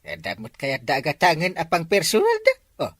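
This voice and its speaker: A woman voicing a talkative, joking village man with a husky voice in a comedy drama, teasing him and jumping into squeaky outbursts. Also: wildly swooping pitch, moderate pace, no room sound, full character voice.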